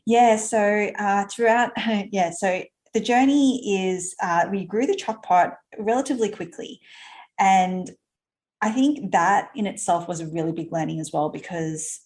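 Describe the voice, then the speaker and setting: A woman's voice: mid-range voice, unhurried, a little mellow. A woman in her thirties from Australia with an Australian accent, chatting as an interview guest, laughing often and using plenty of fillers and restarts. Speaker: guest entrepreneur. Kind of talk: casual conversational interview.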